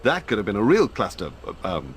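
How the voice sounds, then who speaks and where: Dapper British secret agent, plummy upper-class English, jittery, very animated, faint noise behind, video game. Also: male voice, lowish voice, fairly quick. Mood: nervous. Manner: plummy, fussy, formal politeness. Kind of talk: video game cutscene acting.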